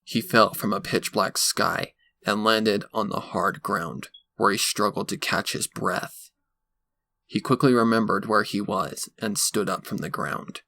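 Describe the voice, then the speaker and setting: A man reads a horror story as dramatic scripted narration. His voice is fairly low, with a gravelly creak and a tense, forceful edge. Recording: faint background noise.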